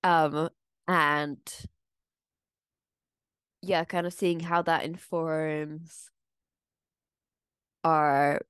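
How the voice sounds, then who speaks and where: Low-voiced woman co-hosting a chat. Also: level tone, extremely slow, pauses often, a bit bright, smooth, no room sound, clean studio sound. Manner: thoughtful, explains plans at length. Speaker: podcast co-host, theatre maker. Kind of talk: casual chat.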